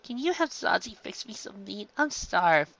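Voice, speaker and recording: A woman's voice: mid-range voice, very animated, moderate pace, gravelly, a bit bright, dry recording, dull audio, voice pushed up. A woman voicing a carefree, goofy teenage boy pirate, a cartoon hero. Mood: weak, happy.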